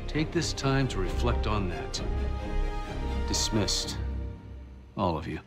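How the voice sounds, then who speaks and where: Stern, condescending official, lively pitch. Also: male voice, slow pace, over loud music. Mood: cold authority. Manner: condescending, clipped, formal. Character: stern, sarcastic male intelligence official leading a debrief. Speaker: actor in a video game cutscene. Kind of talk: dramatic interrogation dialogue.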